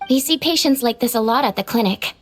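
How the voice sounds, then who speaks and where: A woman voicing a composed young female healer in a video game, speaking in a measured, clinical way. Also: fairly high pitch, expressive, very noisy, close to an everyday voice. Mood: calm, concerned. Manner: measured, matter-of-fact, explanatory.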